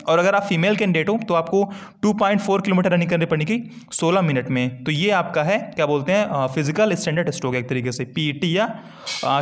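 A man gives an informal, conversational explainer as an exam-preparation host, repeating key points as he walks through a document. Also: on the high side. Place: screen-recorded voice-over.

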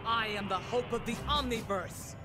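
A man's voice: very high voice, normal speed, loud music behind the voice. A man playing a cocky, dim-witted alien warrior hero, earnest and grandiose, in a cartoon parody dub.

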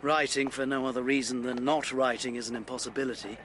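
Male actor as struggling English novelist, bitter, weary, formal, period radio drama, mid pitch. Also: flat delivery, fast talker, a bit bright, slight background noise, close to an everyday voice. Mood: despairing.

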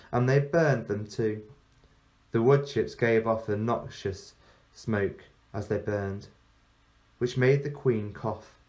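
A man reading a story aloud like a teacher, in measured phrases with deliberate pauses. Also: mid-range voice, darkish tone, rough recording. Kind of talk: storybook narration.

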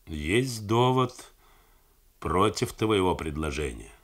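Grey-haired general, slow and measured, low voice, male reader doing him. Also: big pitch swings, slightly rough, full character voice. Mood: cautious. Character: older male army commander, grey-haired, calm, fatherly.

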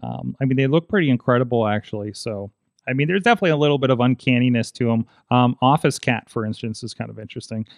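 A man's voice: medium pitch, fast talker, vocal fry, dry recording. A man in his forties hosting a tech podcast, chatting casually with lots of fillers and restarts into a studio mic. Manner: rambling, many fillers and restarts. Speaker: podcast host. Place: small studio with foam panels. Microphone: studio mic on boom arm, headphones.

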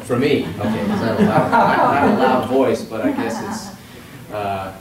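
A man's voice: mid-range voice, very animated, over a noisy crowd. Man speaking from a far-off podium. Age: 40s. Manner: halting, many fillers and restarts. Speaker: composer giving a talk. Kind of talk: lecture at a podium. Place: hall with a podium. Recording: roomy distant hall recording with noise.

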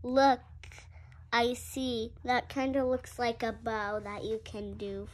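A very young female reader going through a picture book aloud haltingly, sounding out words and adding excited asides. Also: on the high side, noisy background. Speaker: reader in a children's read-along video.

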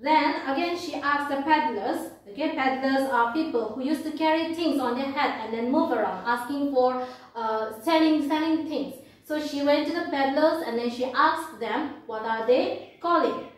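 A young woman with an Indian accent teaching an English lesson in a classroom, explaining in a halting, repetitive way and reading aloud. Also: high-pitched. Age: young adult. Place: indoor classroom at a blackboard. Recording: very echoey room, distant microphone.

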